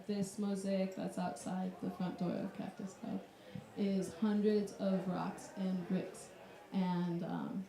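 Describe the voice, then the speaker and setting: A woman's voice: mid-range voice, gravelly, mellow, a little hiss or hum. Nervous woman host in an echoey club. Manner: nervous, self-deprecating, filler-heavy. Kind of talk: casual live panel moderating. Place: club room with audience. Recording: echoey room, distant mic, crowd.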